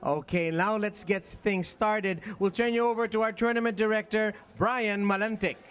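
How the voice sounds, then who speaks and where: High-voiced male poker host. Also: fairly even intonation, moderate pace, a bit bright, clear, resonant tone. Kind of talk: broadcast presenting.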